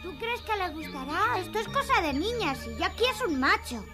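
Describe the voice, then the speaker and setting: A woman voicing an excitable, boastful young boy in a dubbed animated series. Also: very high-pitched, normal speed. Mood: bashful bluster. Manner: excitable, exclamatory. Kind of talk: animated series dub acting. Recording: music under the voice.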